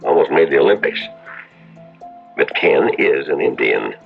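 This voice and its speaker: A man's voice: extremely low pitch, big pitch swings, unhurried, vocal fry, soft background music, heavily acted. An American actor playing a folksy, cautious Western townsman, plainspoken and drawling, in a 1970s radio drama. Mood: grave. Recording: muffled old radio broadcast.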